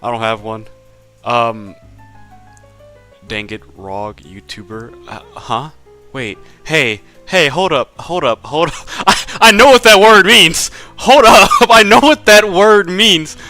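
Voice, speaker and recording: A man's voice: on the high side, expressive, takes it slowly, pushed and bright, no echo, soft background music. A young man drawing live on stream, chatting casually with viewers, stammering and repeating words, laughing often.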